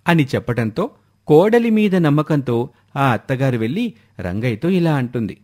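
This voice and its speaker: A man's voice: medium pitch, big pitch swings, moderate pace, darkish tone. A man reading scripted story narration as a storyteller.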